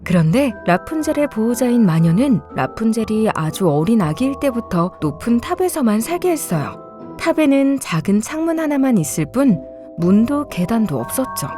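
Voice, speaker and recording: A woman narrates a children's fairy tale with measured expression. Her voice is mellow and moves in animated swings of pitch. Soft music plays behind her. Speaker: storybook narrator.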